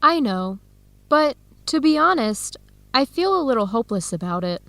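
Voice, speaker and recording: A disheartened student in her early twenties, earnest and torn. Her voice sits fairly high and is recorded dry and close. She speaks in carefully enunciated radio drama dialogue. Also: sing-song, moderate pace, creaky, no echo, full character voice. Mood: discouraged. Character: disheartened 22-year-old Ethiopian-American woman student, earnest, torn. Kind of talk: radio drama acting, clear dialogue for language learners.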